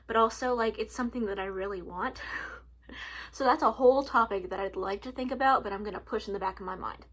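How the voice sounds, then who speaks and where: A woman in her early twenties talking casually to camera at home, chatty, with lots of "like" and "um" and frequent laughs. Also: medium pitch. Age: 20s. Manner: chatty, lots of likes and ums, laughs often. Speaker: vlogger.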